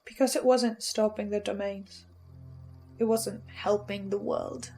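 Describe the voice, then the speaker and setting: A woman playing an anxious, brooding researcher, speaking a halting dramatic monologue with stammers and pauses. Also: medium pitch, fairly even intonation, mellow, lightly acted. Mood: troubled, self-doubting. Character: anxious female researcher with ominous knowledge, brooding and defiant. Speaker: host reviewing a fiction podcast in character. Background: music.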